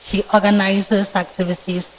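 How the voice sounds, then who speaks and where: Quiet young ghanaian woman answering a vetting panel, polite and formal, into a mic. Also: mid-range voice, flat delivery, moderate pace, slight background noise, low-quality recording. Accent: Ghanaian English. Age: 20s. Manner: soft-spoken, polite and formal. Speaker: student candidate being vetted. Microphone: microphone with feedback.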